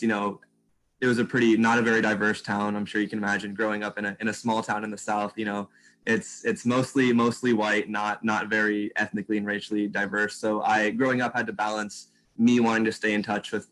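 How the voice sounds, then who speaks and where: A man's voice: fairly low pitch, a bit bright, rough recording. A young man from Virginia telling his background in a reflective way, with frequent "um". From Virginia, United States. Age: young adult. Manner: reflective, uses "um" often. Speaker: scholarship recipient guest. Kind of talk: casual interview answers.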